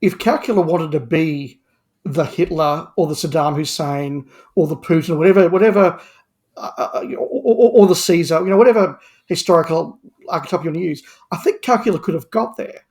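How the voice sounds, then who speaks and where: A man with a fairly high voice talks as a podcast panelist. He is emphatic and analytical, often doubling up words as he builds an argument about drama. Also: fairly quick, a little mellow. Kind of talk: discussion of audio drama.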